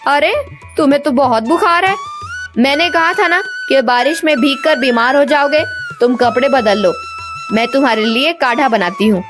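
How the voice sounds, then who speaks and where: A woman voicing a caring, fretful village mother with an expressive, fussing manner in a storybook tale. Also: sits high, moderate pace, close to an everyday voice. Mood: concerned, scolding. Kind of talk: storybook character dialogue. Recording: music bed behind the voice.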